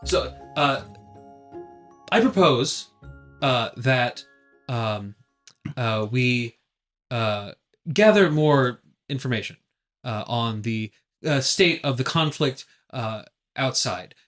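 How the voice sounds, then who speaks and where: A man playing a tabletop game, proposing actions in a halting, deliberate and slightly formal way. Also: medium pitch, expressive, a bit bright, faint music behind. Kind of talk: casual in-game discussion.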